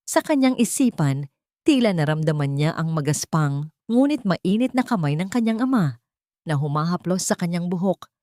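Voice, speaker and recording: A woman storyteller narrating a scripted dramatic tale in a deliberate, emotive manner. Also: fairly low pitch, big pitch swings, warm tone, dry recording, studio quality.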